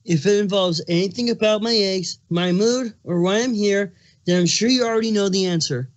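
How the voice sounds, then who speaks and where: Man voicing a high-pitched, irritable pregnant changeling creature. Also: moderate pace, natural voice. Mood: irritated, demanding. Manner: snappish, touchy, sarcastic. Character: irritable, moody pregnant male changeling creature with big appetite. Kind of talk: cartoon fan fiction dramatic reading.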